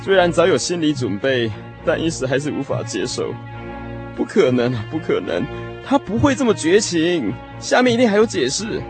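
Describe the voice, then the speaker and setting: An actor playing a lovesick young man, telling his lost first love in an expressive, emotional radio drama style. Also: on the high side, normal speed. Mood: anguished disbelief. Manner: expressive, sighing, emotional storytelling. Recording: music playing behind the voice. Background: music.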